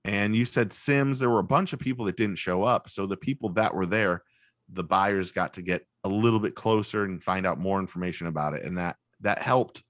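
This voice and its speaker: A middle-aged man, a guest telling rambling, animated stories through a compressed studio microphone. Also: low-pitched, brisk, dry recording. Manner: rambling, animated storyteller, many restarts. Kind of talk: casual chat, storytelling.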